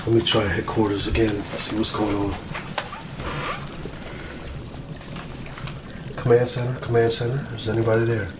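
A man playing an eager, self-important mad scientist doctor in an ASMR roleplay, musing aloud in halting short phrases. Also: on the low side, little pitch movement, lightly acted. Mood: concerned, businesslike. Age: middle-aged. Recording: roomy, distant mic with loud noise.

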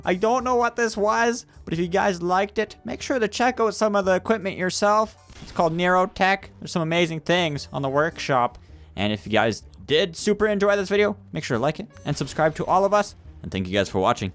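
A man's voice: high voice, animated, brisk, a bit bright, faint music behind. A man hosting a gaming video with casual commentary alongside friends.

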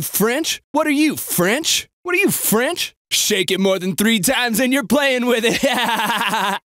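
Excitable guy, wildly swooping high voice. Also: dry recording, studio quality, exaggerated voice. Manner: exaggerated, wisecracking delivery. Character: excitable, sarcastic male American city bystander. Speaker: video game voice actor. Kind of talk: video game bystander barks.

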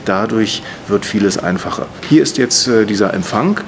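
A man in his fifties giving a statement to camera as a member of parliament, phrasing it in a measured, hesitant way. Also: expressive. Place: indoors, in front of banner wall. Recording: clear background noise, low rumble.

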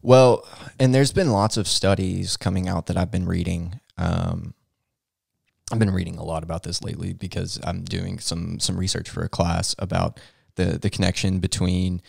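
A young man with a low, gravelly voice co-hosting a casual podcast. He chimes in with easygoing asides and plenty of "um"s, recorded dry and close on a studio mic. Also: fairly even intonation, normal speed, darkish tone, no echo. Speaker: podcast co-host. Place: small room indoors, seated in armchair. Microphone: boom-arm studio mic close in front.

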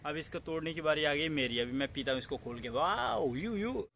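A man's voice: on the high side, lively intonation, a little fast, creaky. Young man vlogging on a noisy beach. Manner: chatty, addresses viewers as friends. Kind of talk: casual travel vlog. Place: outdoors on a beach. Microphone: phone at arm's length. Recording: loud outdoor noise.

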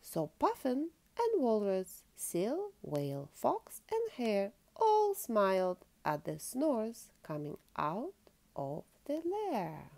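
Lady doing storytime for little kids, super expressive pitch, really slow, bit creaky. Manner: asks listeners questions. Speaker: storytime host reading aloud. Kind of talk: expressive children's picture-book read-aloud.

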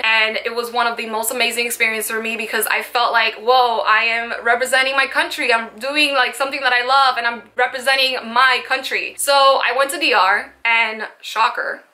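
Dominican woman in her 20s, native spanish speaker, vlogging from her bedroom, earnest, lots of "like", bright highish voice. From Dominican Republic. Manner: earnest, reflective, frequent "like" fillers, gestures with hands. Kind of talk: personal vlog monologue to camera. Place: small decorated bedroom indoors.

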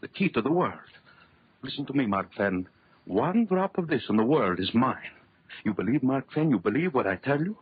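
An actor playing a megalomaniac villain doctor in a 1930s radio horror drama, theatrical, commanding and boastful. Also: male voice, medium pitch, big pitch swings, moderate pace, breathy edge, dry recording. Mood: sinister, commanding. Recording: muffled old 1930s radio recording.

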